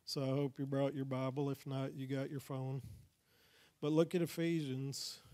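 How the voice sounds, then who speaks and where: A man's voice: mid-range voice, flat delivery, normal speed, dark tone. A man with a Texan accent preaching as an evangelist in a plain-spoken, folksy way, often checking that listeners agree. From Texas, United States. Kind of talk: evangelism training sermon from a pulpit. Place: church, speaking to a congregation.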